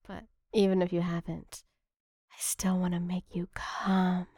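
A woman speaks in a slow, mellow voice, seductive and intimate, as in adult ASMR. She murmurs close to the mic and often slips into a breathy whisper. The sound is very dry, with no room around it. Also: lowish voice, monotone, no room sound. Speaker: erotic audio performer. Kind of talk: erotic ASMR audio addressed to the listener.

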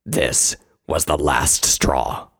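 A narrator voicing a stern, menacing male warrior pony captain with a growling, husky voice and a grave, commanding manner. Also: very deep voice, fairly even intonation, very slow, fairly bright tone, no room sound, studio quality, pitched below the performer's own voice, exaggerated voice. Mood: grim resolve.

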